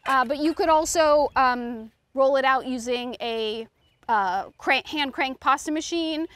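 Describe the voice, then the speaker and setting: High-pitched middle-aged woman chef. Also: measured pace, background noise. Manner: informal, instructive, talking while working. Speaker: chef and cooking instructor. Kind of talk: cooking demonstration talk. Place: outdoors on a lawn beside a food truck.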